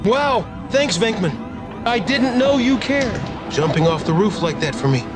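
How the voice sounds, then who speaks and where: Man acting a naive nerd, very high voice, bouncy intonation, earnest, motion comic. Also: expressive, measured pace. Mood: touched, grateful. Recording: crowd and music behind.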